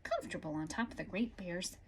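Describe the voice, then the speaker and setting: A woman reading a picture book aloud to children in an animated way, adding little asides as a storyteller. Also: fairly low pitch, brisk, noisy background. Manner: animated, with asides to young listeners. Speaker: story-time reader.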